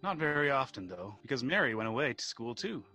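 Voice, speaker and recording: An actor playing a calm, knowing storyteller in a radio drama, measured and explanatory. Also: male voice, mid-range voice, faint music behind, heavily acted. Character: calm, knowing storyteller guiding a listener through a life story.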